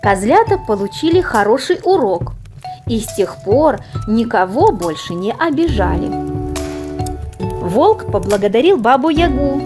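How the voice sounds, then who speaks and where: A woman reading a children's fairy tale aloud as a storyteller, with theatrical, drawn-out emphasis. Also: a little high, very animated, music in the background. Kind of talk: expressive scripted children's story narration.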